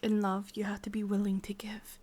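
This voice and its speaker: Breathy whispering English witch, played by a woman. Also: medium pitch, completely flat delivery, lightly acted. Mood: sultry, teasing. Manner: soft, intimate whispering, teasing and unhurried. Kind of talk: whispered ASMR roleplay.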